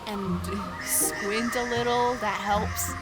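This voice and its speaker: A woman's voice: mid-range voice, fairly even intonation, slow, natural voice. A woman playing a cocky, playful flying boy who leads a band of children in an audio drama. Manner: boyish, breezy, bossy. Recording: music under the voice.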